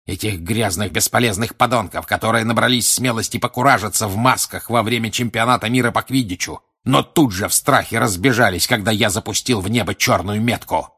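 A man voicing a grim older wizard in an audiobook, questioning in an insistent, deliberate and menacing way. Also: sits low, a bit bright, breathy edge, exaggerated voice. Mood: contemptuous, menacing. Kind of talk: audiobook character acting.

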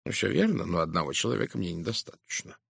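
A man acts out a shrewd Belgian detective in an audiobook mystery. He is courteous and measured, with a gravelly edge and expressive swings of pitch. The sound is close and dry, and his questions are pointed and composed. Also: no echo, exaggerated voice. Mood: confiding, thoughtful. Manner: measured, polite, deliberately emphatic. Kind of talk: dramatised audiobook dialogue.

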